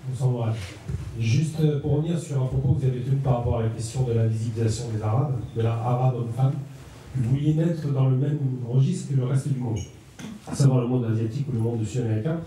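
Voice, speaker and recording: Fast-talking man in the audience, echoey room. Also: medium pitch, dark tone, slight background noise. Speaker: audience member asking a question. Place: gallery room. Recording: echoey room, far from mic.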